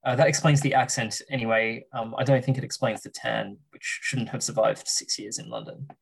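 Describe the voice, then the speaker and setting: A man in his thirties with an Australian accent, explaining policy as a podcast guest in a measured way, with frequent ums and uhs. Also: mid-range voice, steady tone, gravelly, husky, poor audio. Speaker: research fellow, podcast guest.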